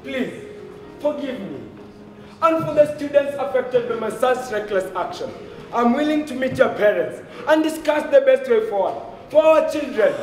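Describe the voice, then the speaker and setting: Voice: high-pitched, lively intonation, moderate pace, darkish tone, soft background music. An actor playing a wealthy, stern, busy father in a stage play, speaking formal, emphatic English with a Kenyan accent. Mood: remorseful. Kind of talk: loud declamatory stage play delivery. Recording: echoey hall, distant mic, noisy ambience.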